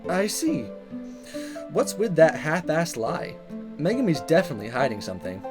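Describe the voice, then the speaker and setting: Casting: male player as animated high-pitched schoolboy, cheeky and awkward, visual novel, music underneath. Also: moderate pace. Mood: casual, reluctant. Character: mischievous, awkward teenage schoolboy, cocky prankster.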